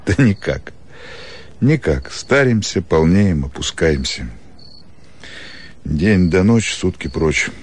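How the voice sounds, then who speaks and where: A man acting a weary, disillusioned provincial doctor in a radio play, grumbling and sighing through drawn-out complaints. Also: deep voice, lively intonation, slightly rough, heavily acted. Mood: weary, bitter.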